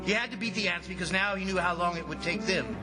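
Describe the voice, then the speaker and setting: Man acting high-voiced, anxious radio drama commissioner over music. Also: lightly acted. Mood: grim dread. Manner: dramatic, suspenseful, deliberate storytelling. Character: horrified, anxious commissioner recounting an ant invasion. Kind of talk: old-time radio drama narration and acting. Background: loud dramatic music.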